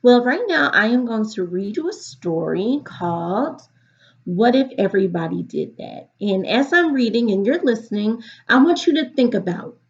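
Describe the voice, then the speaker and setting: A woman teacher reading aloud to students in an expressive, instructive storytelling delivery. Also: fairly high pitch, moderate pace, husky. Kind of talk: picture-book read-aloud for a virtual class. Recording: dull, lo-fi recording.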